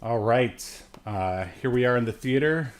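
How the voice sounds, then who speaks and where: A man in his late thirties co-hosting a podcast, chatting casually about films in a halting, rambling way with trailing asides. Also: medium pitch, expressive. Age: late 30s. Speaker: podcast co-host. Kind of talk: casual film review chat.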